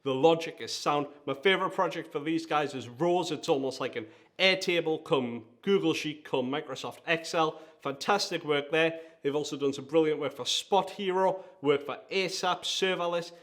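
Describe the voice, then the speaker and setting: A man's voice: fairly high pitch, normal speed, a bit bright. A man with a Geordie accent hosting a countdown-style video, talking in an enthusiastic, emphatic way. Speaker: video host, design agency founder. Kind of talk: presenter-style vlog countdown.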